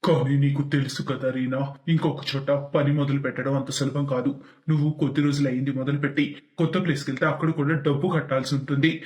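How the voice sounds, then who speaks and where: A man voicing a poor, dejected village husband in a moral cartoon story, with a weary, measured manner. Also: medium pitch, fairly even intonation, darkish tone, roomy sound, lightly acted. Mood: doubtful.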